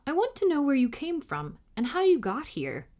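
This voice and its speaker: A woman's voice: high-pitched, wildly swooping pitch, moderate pace, a little mellow, pitched above the performer's own voice. A woman voicing a polite, curious young boy in a storybook, speaking in an eager, questioning manner. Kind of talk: storybook character reading.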